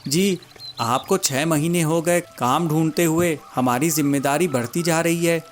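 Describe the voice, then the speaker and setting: A man reads out a supportive cartoon wife bird in a fairly high voice. The young mother speaks gently and respectfully, full of care. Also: measured pace, slight background noise, lightly acted. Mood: worried.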